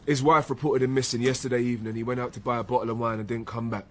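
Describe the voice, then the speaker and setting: A man's voice: mid-range voice, little pitch movement, rapid-fire, a little hiss or hum, lightly acted. An actor playing a blunt, defensive British police detective, a man who talks colloquially in a naturalistic radio drama. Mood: terse, pressed. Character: hot-headed, defensive male police detective, younger colleague.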